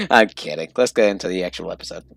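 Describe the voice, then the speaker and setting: A man's voice: deep voice, normal speed, gravelly, no room sound. A man hosting a reaction video with casual, joking commentary and informal interjections.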